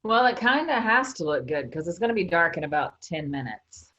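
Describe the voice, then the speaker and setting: A woman's voice: on the low side, very animated, a little fast, a bit bright. A woman playing a practical, teasing member of a travelling theater troupe in a staged play reading. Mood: wary. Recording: dull, lo-fi sound.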